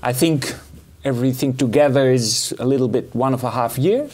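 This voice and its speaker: Man, programme manager, mid-pitched, measured, pausing with fillers. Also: a little mellow. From Netherlands. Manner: measured, hesitant with fillers, non-native phrasing. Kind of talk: panel answers, explanatory.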